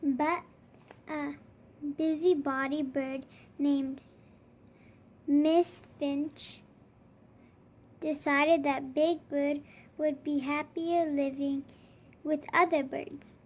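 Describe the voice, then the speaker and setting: High-pitched child reading a storybook aloud. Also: little pitch movement, extremely slow, pauses often. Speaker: storybook reader.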